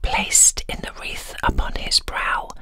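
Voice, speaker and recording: A woman's voice: takes it slowly, pushed and bright. A British woman narrating a scripted ASMR bedtime story close to the microphone. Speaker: voiceover artist, storyteller. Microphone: close, dry microphone.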